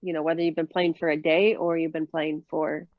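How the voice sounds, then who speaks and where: A woman's voice: low-pitched, level tone, brisk, no echo, highs cut off. A woman from Minnesota with a Minnesota accent, chatting casually in an interview with many fillers and easy laughs. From Minnesota, USA. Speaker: professional disc golfer, guest.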